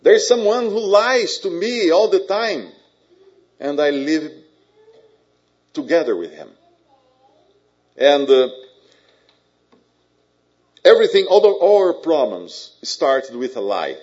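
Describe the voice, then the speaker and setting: A Brazilian-accented man delivers a sermon in English, his second language, speaking slowly and deliberately. He often stops to restart and repeat his words, and he mixes in light jokes. The recording sounds dull and muffled. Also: on the high side, expressive, a little mellow. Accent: Brazilian Portuguese. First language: Portuguese. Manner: halting, repeats words, joking asides. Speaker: preacher.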